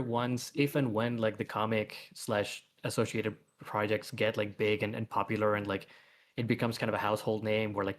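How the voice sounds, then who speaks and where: Male webcomic creator, low voice, rambling, thinking-aloud delivery for a casual livestream chat. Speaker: webcomic author, guest.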